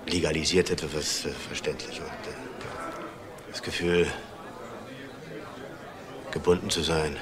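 Articulate, self-justifying middle-aged man, crime drama; deep register, measured phrasing with pauses. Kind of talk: German TV crime drama dialogue.

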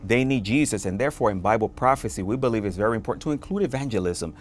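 Earnest low-voiced pastor. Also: male voice, lively intonation, rapid-fire, slight background noise. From Dominican Republic. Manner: earnest, fervent, with frequent filler "uh". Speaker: pastor, interview guest. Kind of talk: conversational testimony. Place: television studio set. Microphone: lapel mic.

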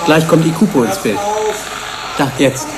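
Higher-voiced male research psychologist, subdued, defensive. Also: expressive, a little slow, a loud crowd, rough recording. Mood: explanatory, subdued. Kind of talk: German radio drama acting.

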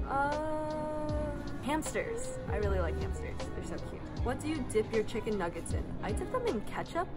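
Soft-spoken young woman, chatty vlogger. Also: a little high. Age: young adult. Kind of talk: casual Q and A vlog. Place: airport terminal, indoors. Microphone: phone camera at arm's length.

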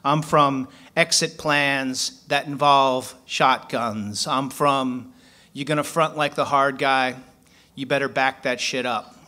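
A man's voice: mid-range voice, fairly even intonation, slow pace, sharp tone. A man in his forties from Philadelphia, a poet reading his work aloud from paper at a stand mic on a small club stage, then telling a story.

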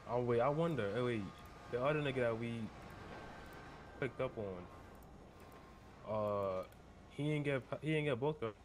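A man's voice: medium pitch, unhurried, pauses often, slight background noise. A young man doing casual, slangy street roleplay in an online game, often repeating himself. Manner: slangy, repetitive.

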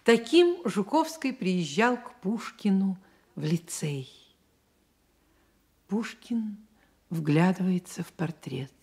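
A woman narrating a scripted literary radio play with measured, expressive storytelling. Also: medium pitch, slightly rough.

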